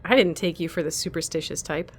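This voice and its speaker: A woman's voice: low voice, fast talker, slightly rough. A woman playing a bitter, self-preserving captive fighter in an audio drama, blunt, demanding and sardonic. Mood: angry, bitter.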